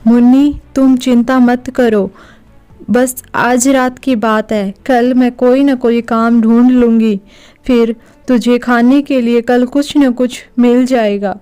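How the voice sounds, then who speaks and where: A woman playing a poor, gentle, homeless young mother in a cartoon, speaking in a plaintive, pleading way. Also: a little high, steady tone, slow pace, clean tone, soft background music. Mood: sorrowful, reassuring.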